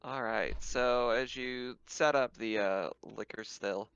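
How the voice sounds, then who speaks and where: A man acting as game master in a casual tabletop game, narrating haltingly over a slightly dull recording. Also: medium pitch, a bit bright, smooth.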